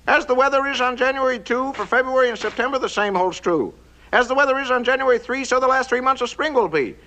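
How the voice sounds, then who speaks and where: A man's voice: very high voice, lively intonation, fast, bright, forceful tone, heavily acted. A man voicing a quirky weather-lore forecaster who recites rhymes in a singsong way in an old cartoon film. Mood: muddled patter. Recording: dull, noisy old film soundtrack.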